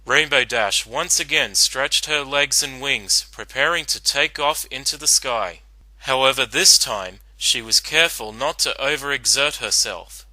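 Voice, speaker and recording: A man's voice: mid-range voice, measured pace, sharp tone, no room sound, poor audio. A man narrating a written story as a dramatic reading.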